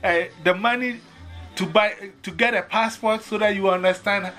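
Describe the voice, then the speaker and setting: A man's voice: high-pitched, steady tone, normal speed, sharp tone, faint music behind. A man from Ghana with a Ghanaian accent, telling stories in casual chat and acting out both sides of the conversations.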